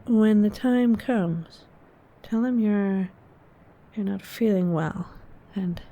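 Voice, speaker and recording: An actress playing a seductive, scheming married hostess, coaxing and deliberate, in a horror audio drama. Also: female voice, medium pitch, warm tone. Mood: coolly seductive.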